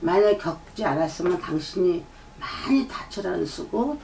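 A middle-aged woman speaks as a shaman giving a reading in a low, lecturing voice. She circles back over her points and keeps checking in with "eh?". The camera mic sits away from her, with a faint hum in the room. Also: lively intonation, moderate pace, gravelly, dark tone, recorded from a distance. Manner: lecturing, repeats herself, prompts with "eh?". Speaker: shaman fortune teller. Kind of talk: fortune-telling consultation. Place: shrine room with folding screen and drum. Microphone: far camera.

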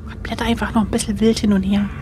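A woman playing an adventure gamebook, chatting casually in an excitable, jokey, mock-indignant way. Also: mid-range voice, very fast. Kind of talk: casual playful banter. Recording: noticeable background noise.

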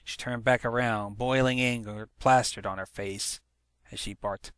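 A man's voice: mid-range voice, fairly even intonation, unhurried, a bit bright, smooth, no echo, clean studio sound. A man reading a story aloud as a dramatic scripted narration. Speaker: fan fiction narrator.

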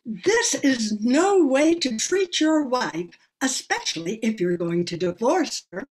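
A woman's voice: on the high side, sing-song, slow pace, slightly rough, heavily acted, poor audio. An older woman playing a meddling, proper old aunt with an earnest, moralizing manner in an amateur radio play. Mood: scolding. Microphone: computer on video call.

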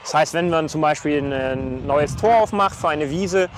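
A man's voice: fairly high pitch, moderate pace, a little hiss or hum. A man in his thirties gives an informal explanation to camera outdoors by a farm field.

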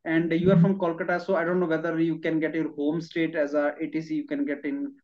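A man's voice: a little high, little pitch movement, a little fast. A middle-aged man with an Indian accent interviewing conversationally on a video call, rephrasing his questions and mixing in Hindi words. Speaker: interviewer, educator. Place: classroom in front of a chalkboard. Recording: dull, muffled video-call audio.

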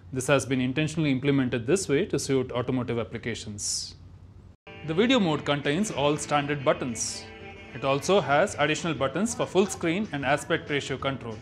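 A man's voice: mid-range voice, brisk, soft background music. A man reading scripted narration for a product demonstration.

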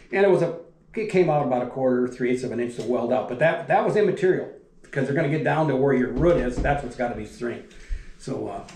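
An older man, a retired factory welder, telling plant stories in a folksy, rambling way that often drops off quietly. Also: mid-range voice, vocal fry. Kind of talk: casual storytelling. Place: dining room at a wooden table. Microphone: camera across the table. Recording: echoey room.

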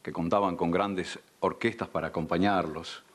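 An older man with an Argentine accent speaks in a deep register. He has the measured, polished delivery of a veteran radio announcer, sharing memories in a studio interview. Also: fairly even intonation. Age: older, around sixties. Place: dark television studio.

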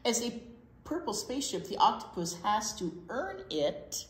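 An American woman in her sixties reads a picture book aloud as a children's storyteller, expressive and explaining things simply. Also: a little high, normal speed. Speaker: children's storytime reader at a library. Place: library children's room, indoors. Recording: roomy, echoey indoor sound.

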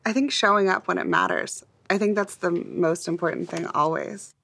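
A woman in her thirties speaking with quick confidence in an interview. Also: on the low side, creaky, fairly bright tone, husky. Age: 30s.

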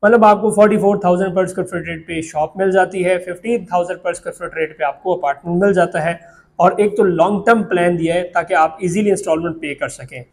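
A young man with a Pakistani Urdu accent presenting property prices to camera in a steady, explanatory sales pitch, recorded indoors in an office on a lapel mic. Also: brisk. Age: young adult. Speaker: real estate agent.

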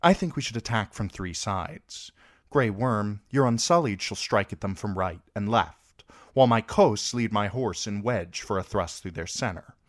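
A commanding young queen, voiced by a man narrating a story. She speaks in a measured way, with a lifted, lively voice. The sound is close and dry. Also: lowish voice, no room sound, voice pushed up, lightly acted.

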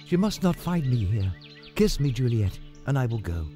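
A man's voice: medium pitch, sing-song, normal speed, mellow, faint music behind, pitched above the performer's own voice, close to an everyday voice. A man playing a passionate, lovestruck young nobleman in a dramatized storybook, earnest and theatrical. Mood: tender, earnest.

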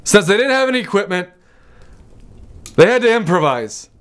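Man acting as elderly grandfather, very high male voice, exasperated, admonishing, theatrical storyteller, comedy sketch. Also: sing-song, normal speed, a bit bright. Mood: storytelling. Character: exasperated old grandpa reading a bedtime story.

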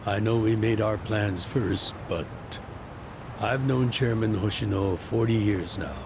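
A gravelly old ex-yakuza boss. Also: male voice, on the low side, noisy background. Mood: calm, reflective. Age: older. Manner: slow, measured, reflective. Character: older retired male yakuza boss, weary and fatherly. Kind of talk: video game cutscene dub.

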